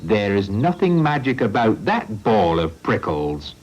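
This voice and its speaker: A man's voice: lowish voice, very animated, unhurried, darkish tone. A man voicing a kindly, shrewd older farmer with a hearty, fatherly manner, on a lo-fi storybook recording. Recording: lo-fi old recording.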